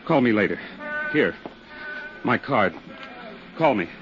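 An actor playing a defensive, agitated office worker in a 1950s radio drama, blustering and halting as he speaks. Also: lowish voice, lively intonation, loud music behind the voice. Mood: shocked, distressed. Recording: muffled, noisy 1950s radio recording.

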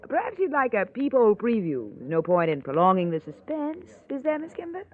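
A woman playing a sharp, scheming newspaper columnist, haughty and cutting, in an old radio drama. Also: on the high side, wildly swooping pitch, fairly quick. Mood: silky, barbed. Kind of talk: 1940s-50s radio drama acting. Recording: old, narrow-band radio recording.

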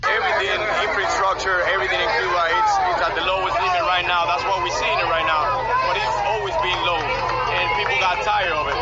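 A man speaking earnestly at an outdoor street rally, with some halting restarts. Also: high-pitched, steady tone, dull audio. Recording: noisy outdoor crowd recording. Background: loud crowd and traffic.

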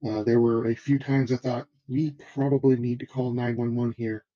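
A man telling a story in a flat, subdued way, his voice dark and mellow with very little rise and fall. The sound is muffled and lo-fi, as a replayed clip would be. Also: medium pitch, moderate pace. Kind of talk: recorded voice clip being played.

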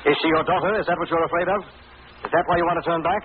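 A man's voice: on the high side, fairly even intonation, very fast, natural voice. Man playing a commanding adventurer, tinny old radio. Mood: accusing. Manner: insistent, urgent. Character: determined, commanding male adventurer pressing on through danger. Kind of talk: old-time radio drama acting. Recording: noisy, tinny vintage radio recording.

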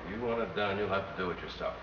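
An actor playing a weary, mild underling, plain-spoken and placating, in a classic radio drama. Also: male voice, sits low, racing, natural voice. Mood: reluctant. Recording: dull, noisy old radio recording.

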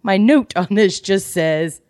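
A woman's voice: medium pitch, slow, darkish tone, no room sound, clean studio sound. A woman chatting casually as a podcast co-host, candid and joking with salty language. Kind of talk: casual chat about books.

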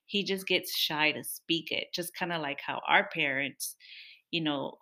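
A woman's voice: sits low, measured pace, bright, forceful tone. Chatty, laughing young woman. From Mexican-American family. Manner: chatty, laughs often, playful complaining. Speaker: podcast co-host. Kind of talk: casual chat with partner.

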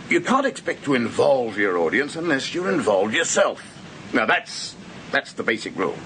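Boorish excitable film maker in a radio play, lively swoopy bright voice, prattles on. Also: male voice, mid-range voice. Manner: prattling, brash, jovially dismissive. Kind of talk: radio drama acting. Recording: hissy old radio drama recording.

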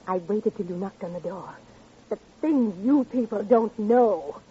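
An actress playing a frail, unhinged spinster with a brittle, evasive, menacing manner in a vintage radio drama. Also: female voice, mid-range voice, measured pace, dark tone, husky. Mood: defensive, unhinged. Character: frail, unhinged spinster who killed her sister, defensive, eerie. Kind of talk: 1940s-50s radio drama acting. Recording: dull old radio recording, faint noise.

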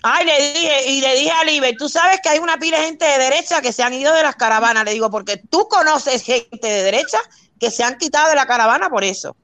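A Cuban woman with a Cuban accent chatting on a live show, telling an argument in animated detail and quoting herself and others. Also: sits high, fairly quick, no room sound. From Cuba. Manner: animated storytelling, quoting her own arguments. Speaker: guest on a live talk show. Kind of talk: casual heated chat.

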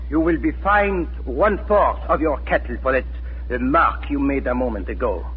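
A man's voice: medium pitch, husky. A man playing a cold, menacing Nazi occupation officer in 1940s radio drama, issuing commanding, formal threats. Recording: scratchy, tinny 1940s radio recording.

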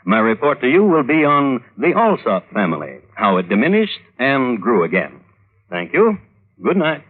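An actor playing a droll connoisseur of historical crimes, narrating wryly and deliberately in old-time radio style. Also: male voice, mid-range voice, huge pitch swings, husky. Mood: wry, formal. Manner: wry, deliberate phrasing. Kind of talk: 1950s radio storytelling. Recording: old radio broadcast, tinny narrow band.

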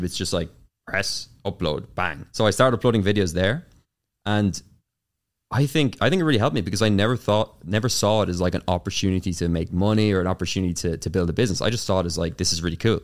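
A young man with an Irish accent, a guest on an interview show, answering in a casual, rapid way full of fillers and restarts. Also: sits low. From Ireland. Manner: fast, filler-heavy with many restarts. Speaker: YouTube consultant, guest.

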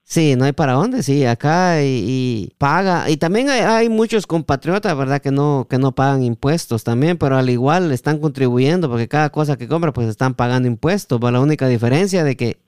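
A man with a Guatemalan accent hosting a casual interview, halting and repeating words, often checking for agreement with tag questions. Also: medium pitch, darkish tone, clear, resonant tone, dry recording, clean studio sound. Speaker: podcast host.

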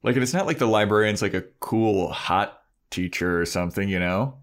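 Low-pitched man chatting casually. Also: fairly quick. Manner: slangy, mimics characters in quoted asides. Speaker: podcast co-host. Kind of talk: casual chat, TV episode recap.

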